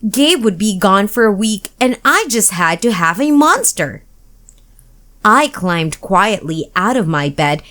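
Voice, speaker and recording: Expressive, unhurried woman storyteller. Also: medium pitch. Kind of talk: expressive children's storybook read-aloud.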